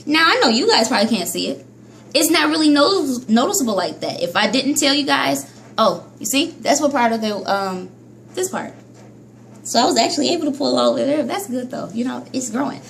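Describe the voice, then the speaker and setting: A woman vlogging about hair care in a casual, chatty monologue, scolding herself and jumping between high and low pitch. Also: lively intonation, a little fast, creaky, a bit bright, a little hiss or hum. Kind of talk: casual vlog monologue to viewers.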